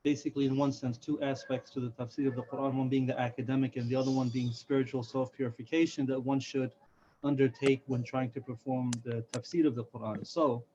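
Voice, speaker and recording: A man moderating, formally relaying questions with polite, careful, deliberate phrasing, heard on a muffled, noisy recording. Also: mid-range voice, little pitch movement, mellow.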